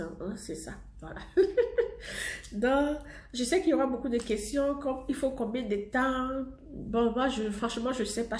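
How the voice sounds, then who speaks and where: A woman's voice: medium pitch, reverberant. Chatty woman with a head cold. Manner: chatty, repeats herself, laughs often. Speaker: cosmetics seller presenting a product. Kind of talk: casual product vlog to subscribers.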